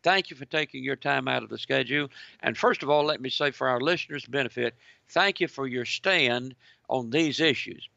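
A man's voice: medium pitch, vocal fry, no echo, lo-fi sound. A man hosting a talk radio show, speaking to his listeners in a preacherly way and interviewing a guest. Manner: preacherly, addresses listeners as ladies and gentlemen.

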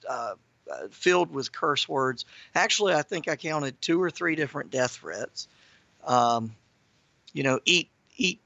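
A hoarse man speaks as a guest on a talk radio show, casual and full of fillers. His voice is gravelly and bright. The audio sounds dry and dull, like a broadcast. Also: mid-range voice, no room sound. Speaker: guest, ministry founder. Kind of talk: radio interview.

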